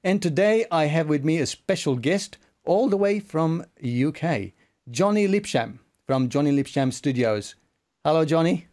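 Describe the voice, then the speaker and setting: A man's voice: on the high side, lively intonation, measured pace, darkish tone. A man hosting a casual online interview, speaking in a polite, somewhat hesitant way. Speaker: interviewer, host.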